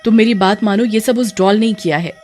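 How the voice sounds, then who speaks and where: A woman's voice: mid-range voice, fairly quick, a little mellow, soft background music, close to an everyday voice. A woman voicing an anxious, stern mother with urgent, emphatic delivery in a horror story. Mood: insistent. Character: anxious, stern young mother in a haunted house. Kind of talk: dramatized horror story acting.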